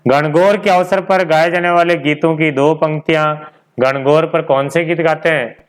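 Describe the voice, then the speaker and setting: A man's voice: on the high side, fairly even intonation, moderate pace, clean tone. A man teaching an informal exam-prep class, repeating key points and posing questions that he answers himself.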